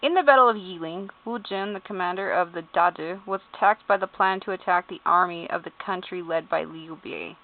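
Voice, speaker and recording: A woman's voice: lowish voice, brisk, few pauses. A woman at home giving casual, amused commentary as she reads game character bios, spelling out names letter by letter, laughing and swearing. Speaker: YouTuber. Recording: tinny phone-like sound.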